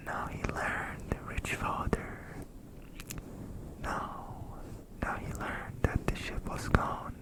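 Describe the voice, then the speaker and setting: Whispered ASMR storyteller, breathy and unvoiced, very slow pace, deliberate phrasing, soft repetitions. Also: low-quality recording.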